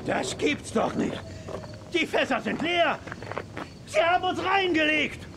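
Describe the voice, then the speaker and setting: A man's voice: very high voice, expressive, a little slow, heavily acted. A man playing an irritable, scornful outlaw gang leader in a western children's audio drama. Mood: alarmed, furious. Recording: clear outdoor background noise.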